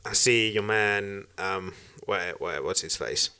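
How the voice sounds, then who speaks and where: A man's voice: lowish voice, takes it slowly, pushed and bright, clear, resonant tone. A man chatting casually as a podcast co-host, sweary and blustering in his banter.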